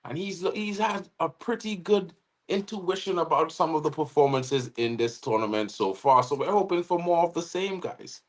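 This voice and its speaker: A man from Trinidad and Tobago with a Trinidadian accent, co-hosting a live football watchalong in casual chat, giving shout-outs to viewers and often saying "you know what I mean". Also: a little high, expressive, moderate pace. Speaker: watchalong co-host.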